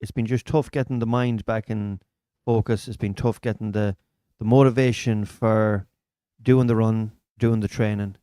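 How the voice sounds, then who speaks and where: Irish guy yarning on a live stream, lowish smooth voice, dry close sound. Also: fairly even intonation, moderate pace, hardly any pauses, dark tone, no echo. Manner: chatty, storytelling, local slang. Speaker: comedian and podcast host. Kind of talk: casual chat on a live stream. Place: small studio.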